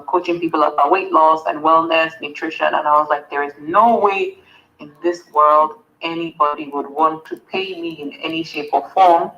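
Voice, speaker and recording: A woman's voice: low voice, a bit bright, muffled recording. A Nigerian woman, a wellness coach, earnestly answering summit interview questions and repeating words for emphasis.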